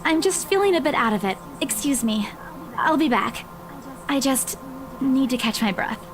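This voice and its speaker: A woman's voice: high-pitched, animated, moderate pace. An actress playing a shaken, nervous young woman in a visual novel. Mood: rattled, evasive.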